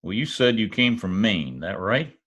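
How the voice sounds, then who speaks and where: A man acts out a wisecracking detective in a radio play. His voice sits slightly low and swings widely in pitch, glib and easygoing in its banter. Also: expressive, normal speed, a bit bright. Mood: businesslike. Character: breezy wisecracking male private detective. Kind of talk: old-time radio detective drama acting.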